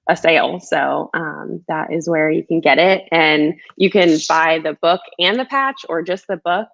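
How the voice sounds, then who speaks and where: A woman's voice: low-pitched, unhurried, vocal fry, a bit bright, lo-fi sound. A woman who is a children's book author, speaking in a storybook read-aloud or chatty setting. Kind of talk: storybook read-aloud, rhyming, then casual chat.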